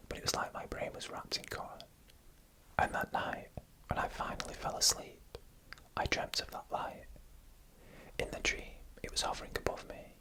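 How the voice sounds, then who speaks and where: ASMR horror storyteller: fully whispered, bright sibilant breath, hushed deliberate delivery, close-miked for headphones. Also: rough recording. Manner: hushed, deliberate, short phrases with pauses. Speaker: ASMR narrator. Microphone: close microphone made for headphone listening.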